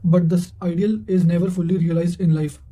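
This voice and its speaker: A man with a high-pitched voice reads quotations and notes aloud. His tone is very dark and mellow, and his intonation stays quite even. The delivery is formal and a little halting, like someone working through a written text. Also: rough recording. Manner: formal, halting reading with occasional stumbles. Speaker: narrator reading study notes.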